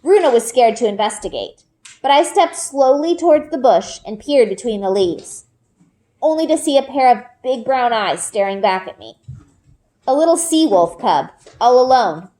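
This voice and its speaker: Female read-aloud narrator, teacherly and expressive, fairly high register with swooping intonation. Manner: storytelling, dramatic pauses and emphasis. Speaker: teacher reading aloud to students. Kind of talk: expressive children's book narration.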